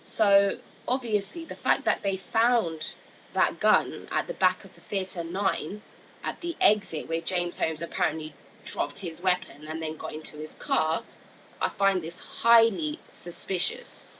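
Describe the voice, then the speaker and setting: A woman's voice: lowish voice, measured pace, fairly bright tone. A woman giving informal, hesitant opinion commentary as a video narrator, repeating herself with lots of filler phrases. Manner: hesitant, repetitive, frequent "you know" and "like". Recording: noisy amateur voice-over with clear hiss.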